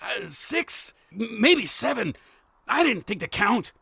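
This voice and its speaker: A man's voice: very high voice, big pitch swings, takes it slowly, gravelly, sharp tone, breathy edge, dry recording, heavily acted. A man playing a scheming, theatrical bandit ringleader in a fantasy visual novel. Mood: frantic, pleading. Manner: theatrical, pleading then threatening. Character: scheming bandit ringleader posing as frantic merchant.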